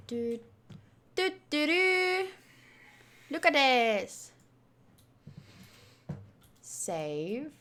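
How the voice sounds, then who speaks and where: A woman with a high, very bright voice hosts a game stream. She talks casually to her chat, animated and playful, and likes to tease. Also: extremely slow, long pauses. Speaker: streamer hosting a game playthrough. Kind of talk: casual livestream chat to viewers.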